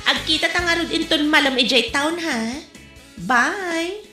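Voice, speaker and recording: A woman acting lines in a comedic audio drama, in an animated, teasing manner. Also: high voice, measured pace, echoey room, over music.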